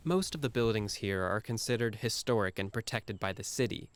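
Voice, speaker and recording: A man's voice: fairly low pitch, brisk, slight background noise. A man performing a scripted first-person monologue in a reflective, musing manner with a wry edge.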